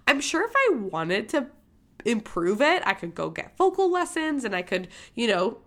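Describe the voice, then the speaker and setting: A woman's voice: a little high, sing-song, normal speed, fairly bright tone. A young woman from Michigan hosting a podcast, talking candidly and emphatically in a casual motivational monologue, swearing freely. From Michigan, USA. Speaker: podcast host.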